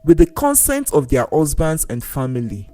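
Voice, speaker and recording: A storyteller narrating a scripted folktale in a deliberate, dramatic way, with pauses between phrases. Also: mid-range voice, huge pitch swings, mellow. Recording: voice over faint music. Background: faint background music.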